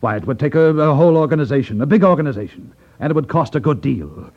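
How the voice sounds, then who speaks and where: A man's voice: a little high, lively intonation, fast, phone-quality sound. A cold-blooded gang boss from a 1950s radio thriller, genteel and condescending. His speech rises and falls with oily courtesy over a dulled, vintage broadcast sound. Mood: incredulous, pressing. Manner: genteel, mock-polite, condescending. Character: suave, cold-blooded male crime boss posing as amiable businessman. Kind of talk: 1950s radio drama acting.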